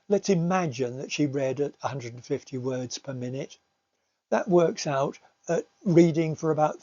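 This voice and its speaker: Measured male teacher, slightly dull recording. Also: medium pitch, dark tone. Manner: hesitant, measured explaining. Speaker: teacher giving a talk. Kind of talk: slide presentation talk on language teaching. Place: recorded voice-over for slides.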